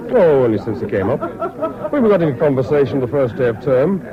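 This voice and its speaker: An actor playing an earnest, credulous English university student in a radio drama, confiding in a hesitant, gushing way. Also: male voice, mid-range voice, sing-song, brisk, natural voice. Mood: friendly, admiring. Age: young adult. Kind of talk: 1970s British radio drama acting. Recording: muffled old radio broadcast, chattering crowd.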